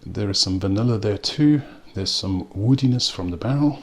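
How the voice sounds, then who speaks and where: A man from South Africa with a South African accent, reviewing a rum in a relaxed way with frequent pauses and ums. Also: fairly low pitch. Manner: unhurried, with frequent ums and restarts. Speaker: spirits reviewer. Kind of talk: casual spoken tasting review.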